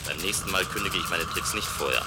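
An actor playing a restless, playful man of a future city in a German radio play, with a measured, musing delivery. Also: low-pitched, very noisy. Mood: playful, competitive.

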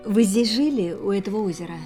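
A woman acting a war-scarred twenty-four-year-old woman in a radio play. Her voice is dark and very mellow, soft and melancholy, with a world-weary wistfulness, set against music. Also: mid-range voice, quick pace, close to an everyday voice. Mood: quietly curious. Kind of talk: radio drama acting, dialogue and monologue.

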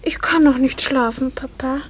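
An actress playing a dreamy, sleepless teenage daughter in a radio play, with a breathy voice. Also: female voice, high voice, normal speed. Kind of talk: German radio play acting.

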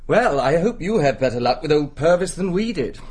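A man's voice: on the high side, big pitch swings, fairly quick, a little mellow, husky. An actor playing a flippant, roguish young upper-class Englishman in a radio drama, breezy and charming.

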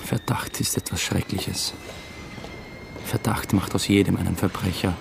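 A man's voice: low voice, steady tone, measured pace, creaky, background noise, full character voice. An actor playing a diffident young theatre dramaturg who narrates his own story in a radio drama, dry and understated. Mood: brooding.

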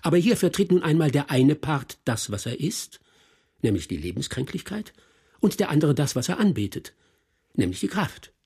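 An actor playing an ironic, educated man in a German radio drama, speaking in an ornate, mannered way with a raspy, creaky voice. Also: fairly high pitch, sing-song, moderate pace, mellow, full character voice. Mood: emphatic, ironic. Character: ironic educated man dissecting an engaged couple with relish.

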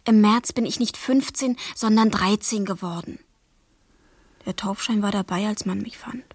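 An actress playing a passionate, impetuous thirteen-year-old girl in a poetic radio play, breathy, whispery and intense. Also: medium pitch, moderate pace, dry recording. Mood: hurt, confessing. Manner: intense, intimate, yearning.

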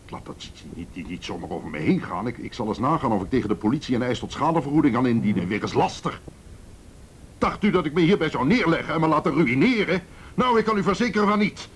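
A man's voice: mid-range voice, expressive, fast, lightly acted. An actor in a radio play playing a defiant, blustering businessman, belligerent and sneering, who stammers when cornered. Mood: defiant, threatening. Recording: faintly noisy radio drama recording.

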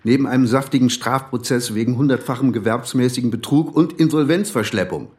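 A man's voice: medium pitch, brisk, darkish tone, close to an everyday voice. A male actor playing a blunt, folksy older police chief in a radio crime drama, talking colloquially and bossily. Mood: explaining. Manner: colloquial, bossy, chummy. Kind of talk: naturalistic German radio crime drama acting.

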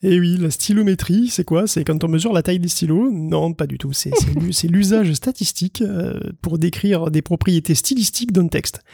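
A man's voice: high-pitched, normal speed, gravelly, hardly any pauses, mellow, husky, no echo, studio quality. A man co-hosting a tech podcast in a loose, joking chat, full of fillers and restarts. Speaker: podcast co-host.

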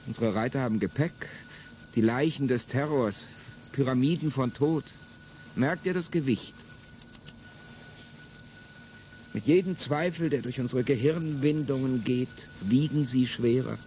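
A man's voice: mid-range voice, normal speed, mellow, a little hiss or hum, natural voice. An actor playing an embittered male revolutionary in a classic radio drama, brooding and swelling into bitter outbursts, with music behind him. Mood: impassioned.

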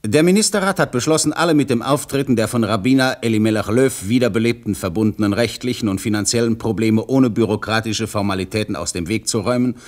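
An actor playing a sober newsreader, delivering formal bulletins in a radio play. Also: male voice, lowish voice, fast talker, few pauses, close to an everyday voice. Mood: sober, official.

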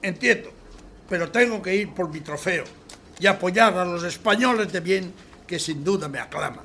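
An arrogant fascist general. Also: male voice, high voice, animated, moderate pace, bright, forceful tone, a little hiss or hum, heavily acted. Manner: haughty, commanding. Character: haughty male fascist general, commanding. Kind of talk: radio drama acting. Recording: music bed behind.

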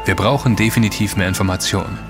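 A man playing the composed leader of an investigative team in a German audio drama, speaking in a measured, matter-of-fact way. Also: lowish voice, music in the background, close to an everyday voice. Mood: serious, decisive.

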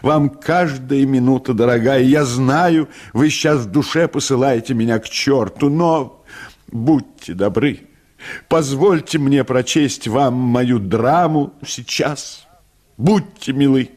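A man voicing a nervous, gushing, pushy lady playwright, with a high voice and halting, effusive delivery in a storybook reading. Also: a little mellow. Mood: coy pleading. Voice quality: high, tenor-like voice.

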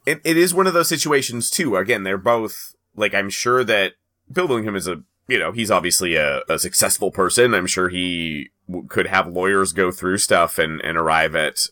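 A man's voice: low voice, normal speed, sharp tone. A man co-hosting a podcast in casual panel chat, rambling and often interrupting himself with qualifications. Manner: rambling, hedging, self-interrupting. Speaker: comics news podcast co-host.

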